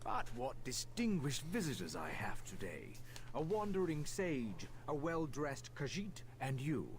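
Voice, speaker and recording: A world-weary elven official in a fantasy game, formal and a touch pompous. His pitch rises and falls with lively expression, and faint game ambience sits underneath. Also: measured pace, a little hiss or hum. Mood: wry. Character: male high elf official, weary and pompous. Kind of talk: video game character dialogue.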